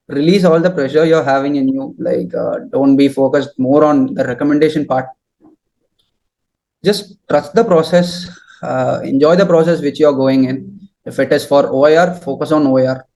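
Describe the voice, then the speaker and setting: Higher-voiced young man, mellow tone. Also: moderate pace, gravelly, low-quality recording. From southern India. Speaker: interviewee, successful candidate giving advice. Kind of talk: interview answers, giving advice.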